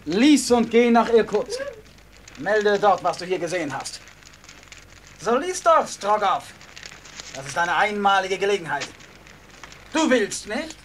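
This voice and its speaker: Cruel villain, high voice, swooping delivery, sneering, commanding, radio play. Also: male voice, big pitch swings, a little slow, pauses often, fairly bright tone, heavily acted. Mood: gloating taunts. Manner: sneering, taunting, barking orders. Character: gloating, cruel male traitor villain, commanding. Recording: faint background noise.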